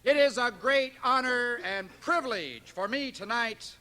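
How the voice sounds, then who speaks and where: An actor playing a pompous small-town reeve, giving a grandiose ceremonial speech in a radio drama. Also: male voice, extremely high pitch, sharp tone, full character voice. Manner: grandiose, drawn-out, declamatory. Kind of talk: stage oratory in a radio drama. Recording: home cassette transfer of 1980 radio broadcast.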